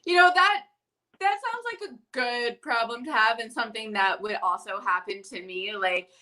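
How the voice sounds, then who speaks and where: Upbeat lady host on a live stream, high bright voice. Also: moderate pace. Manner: bubbly, upbeat, laughs along. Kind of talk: casual livestream interview. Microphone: video call.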